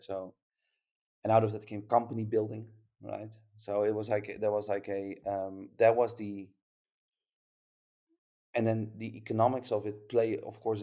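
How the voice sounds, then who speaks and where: Dutch-accented man, podcast guest, gravelly, monotone, hesitant with fillers. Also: lowish voice. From Netherlands. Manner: rambling, many fillers and restarts. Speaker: startup founder guest. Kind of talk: casual podcast conversation.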